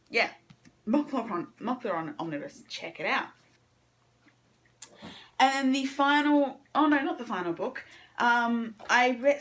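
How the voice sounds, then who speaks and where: A woman's voice: mid-range voice, a bit bright. Casual, rambling woman vlogger. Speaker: book reviewer on own video channel. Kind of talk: casual chat to camera, monthly reading wrap-up.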